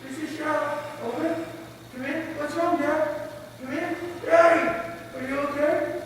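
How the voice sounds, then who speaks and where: A performer playing a frightened young child calling slowly and pleadingly for a father in a stage recital. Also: high voice, steady tone. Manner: slow, pleading calls. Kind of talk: dramatic stage recital, reader's theater. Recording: very echoey hall from far off, faint noise.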